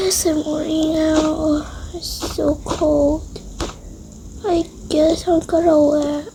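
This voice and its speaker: A young-sounding female speaker narrating a casual improvised game roleplay in a hushed, hesitant way, often trailing off into whispers. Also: high voice, vocal fry, a little hiss or hum, poor audio. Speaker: roleplay video creator.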